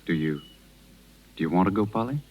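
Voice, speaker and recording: John, gentle deep-voiced worried doctor. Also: male voice, lively intonation, brisk. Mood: concerned. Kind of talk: 1930s radio drama acting. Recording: dull 1930s radio recording.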